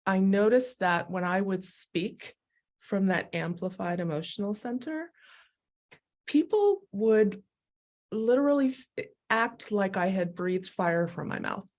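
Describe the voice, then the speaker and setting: A woman speaking as a guest on a live video call, telling her own story in an animated, expressive way and often laughing as she talks. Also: mid-range voice, measured pace. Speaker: guest, Human Design educator. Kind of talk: candid personal conversation.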